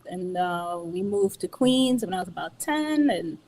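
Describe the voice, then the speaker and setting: A woman raised in New York, a guest on a relaxed radio interview, playful and laughing as she tells stories and repeats her punchlines. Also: on the low side, a little slow, slight background noise. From New York, United States.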